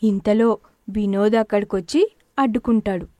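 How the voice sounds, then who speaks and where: A woman's voice: medium pitch, normal speed, warm tone, no room sound. A woman narrating a scripted moral story as a storyteller.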